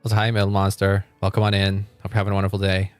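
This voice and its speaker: A man's voice: low voice, completely flat delivery, racing, smooth, faint music behind. A man doing casual live commentary on a game as a streamer, chatty and reacting aloud with exclamations and repeated words. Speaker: livestreamer.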